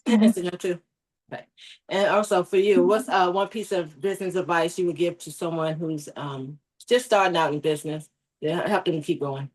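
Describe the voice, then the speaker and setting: A woman hosts a networking video call with brisk, encouraging questions. Her voice sits a little low, and her short affirmations often drop to a murmur. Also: steady tone, darkish tone. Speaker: networking event host.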